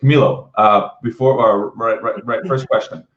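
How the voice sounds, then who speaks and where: Echoey, low-voiced man hosting a webinar. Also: steady tone, normal speed. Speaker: webinar host. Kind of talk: casual live webinar interviewing. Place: indoor room, live online video call. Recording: roomy echo, dull lo-fi webcam sound.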